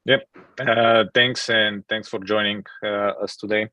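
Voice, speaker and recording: A man hosting an online project meeting, talking casually with many fillers and pauses, on a video call. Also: on the low side, flat delivery, sharp tone, no echo. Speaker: meeting host, project maintainer. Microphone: computer mic on video call.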